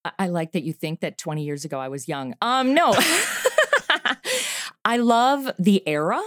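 A woman's voice: on the low side, normal speed, no echo, clean studio sound. A woman in her forties, a comedy podcast creator, talking enthusiastically in an interview and laughing easily. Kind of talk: interview conversation.

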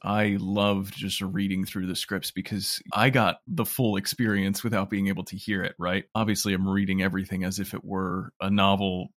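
A man's voice: sits low, level tone, normal speed, hardly any pauses, fairly bright tone, dry recording, studio quality. A man in a casual interview, explaining his opinions conversationally as a producer. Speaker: audio drama producer, interview guest.

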